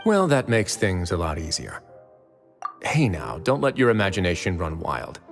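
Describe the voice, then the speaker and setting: A man's voice: low-pitched, sing-song, moderate pace. A man voicing a self-assured, pragmatic prison overseer in a video game, talking in a composed, casual way. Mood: wry. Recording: long reverb, music behind.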